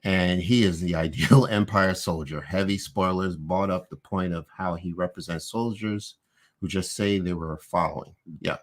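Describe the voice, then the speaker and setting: A man co-hosting a live-streamed podcast, recapping a show episode in a loose, animated way with lots of restarts and "you know.". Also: deep voice, normal speed. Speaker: podcast co-host.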